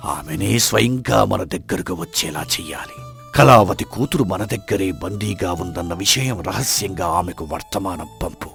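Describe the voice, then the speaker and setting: A man's voice: deep voice, creaky, fairly bright tone. A man acting an arrogant, scheming lord, a folk-tale villain with a haughty, commanding manner.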